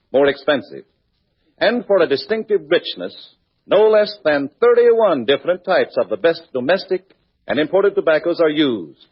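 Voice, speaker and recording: A man's voice: on the high side, breathy edge. A man reading a 1940s radio advertisement as an announcer, with emphatic salesmanship. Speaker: commercial announcer. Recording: muffled vintage radio recording.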